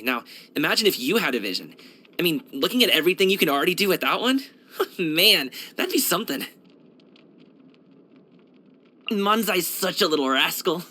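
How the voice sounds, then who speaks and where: Cheerful young kung fu dancer for a game: bright, animated, breezy, casual slang. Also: male voice, a little high. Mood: joking, easygoing. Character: upbeat young male kung fu street dancer and courier. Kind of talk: video game character voice lines.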